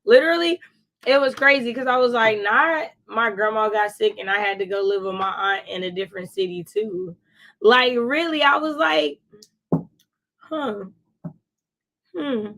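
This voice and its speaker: A woman's voice: fairly high pitch, unhurried, gravelly, pauses often, a bit bright, low-quality recording. A woman chatting casually about books as a co-host, effusive and given to repeating phrases for emphasis. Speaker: book discussion co-host. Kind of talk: casual book chat.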